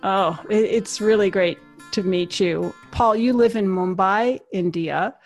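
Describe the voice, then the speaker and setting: A woman's voice: medium pitch, unhurried, faint music behind. A woman hosting a podcast, polished and upbeat as she introduces her guest and asks questions. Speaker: podcast host. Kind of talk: scripted intro then interview questions.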